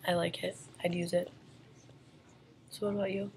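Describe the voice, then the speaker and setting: A young woman with a low, gravelly voice and almost no rise or fall in her pitch. She talks through her week as a student teacher in a steady, matter-of-fact way, with the odd "um" along the way. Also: normal speed, slight background noise. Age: young adult. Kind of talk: casual chat.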